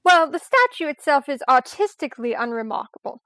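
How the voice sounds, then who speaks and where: A woman playing a brisk, sardonic professor and treasure hunter in an old-time radio detective drama, tossing off confident wisecracks. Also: high-pitched, expressive, bright, forceful tone, full character voice. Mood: confident explaining. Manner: quick sarcastic wisecracks, confident.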